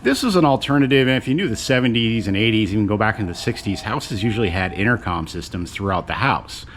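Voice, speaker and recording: Low-voiced man casually presenting, noisy recording. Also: animated, fast talker, fairly bright tone. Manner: rambling, conversational, explanatory. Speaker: video host and presenter. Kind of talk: casual unscripted product demonstration.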